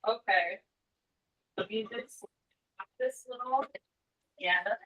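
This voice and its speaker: A young woman from the United States talks in a relaxed, rambling way, dropping "like" into nearly every phrase. Her voice has a bright, crisp edge. She sounds like she's speaking into a laptop on a video call. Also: a little high, slow, rough recording. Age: young adult. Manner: chatty, lots of "like" fillers, laughs while talking. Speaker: interview guest. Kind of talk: casual conversation.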